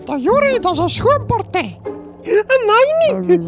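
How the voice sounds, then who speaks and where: A woman's voice: very high-pitched, sing-song, takes it slowly, music in the background, full character voice. A woman playing a gullible, scolding village widow who exclaims in folksy Flemish dialect, in a comic gothic radio play. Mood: coaxing, admiring. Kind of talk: gothic horror comedy radio play acting.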